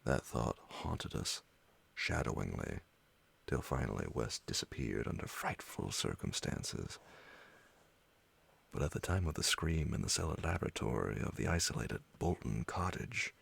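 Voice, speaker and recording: A man narrating a gothic horror tale as an ASMR bedtime story, in short, measured, deliberate phrases. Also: extremely low pitch, very animated, gravelly, fairly bright tone, slightly rough.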